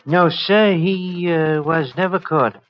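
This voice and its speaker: A man playing a subdued, deferential paroled convict, speaking quietly in 1950s radio drama style. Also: on the high side, slow pace, slight background noise. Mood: meek, guarded. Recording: dull old radio broadcast recording.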